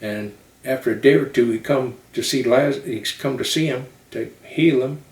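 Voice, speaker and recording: A man's voice: medium pitch, moderate pace, pitched above the performer's own voice, natural voice. A man voicing a fervent preacher who declaims a Bible story in a folksy way. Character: fervent male preacher retelling a Bible miracle. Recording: roomy echo, distant microphone.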